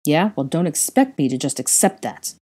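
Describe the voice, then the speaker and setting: A woman's voice: lowish voice, lively intonation, brisk, dark tone. A woman voicing a proud, hot-tempered young father in an audiobook, with a terse, confrontational manner and a growl. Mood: defiant, dark. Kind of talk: acted audiobook dialogue.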